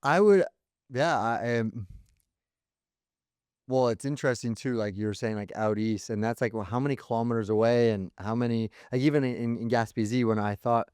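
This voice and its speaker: A man's voice: mid-range voice, moderate pace, darkish tone, clean tone, no room sound, clean studio sound. A man co-hosting a podcast, chatting casually with lots of "like" and "you know" fillers. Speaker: podcast co-host. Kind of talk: casual chat.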